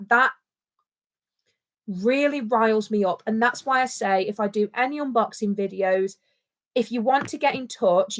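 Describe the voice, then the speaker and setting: Perempuan Inggris yang bicara berapi-api. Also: female voice, mid-range voice, fairly bright tone. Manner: passionate and outspoken, repeating points for emphasis. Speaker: co-host. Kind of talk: casual livestream chat.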